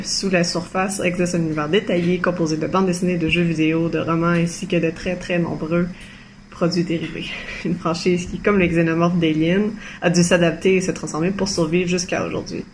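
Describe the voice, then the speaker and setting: A woman presenting her essay in a measured, articulate prepared statement. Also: on the low side, a little mellow, a little hiss or hum.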